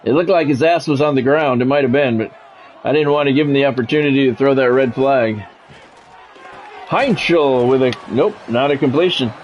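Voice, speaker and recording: A man's voice: medium pitch, normal speed, lo-fi sound, soft background music. A man streaming a football video game, mixing sports play-by-play with casual, salty chat to viewers and swearing freely.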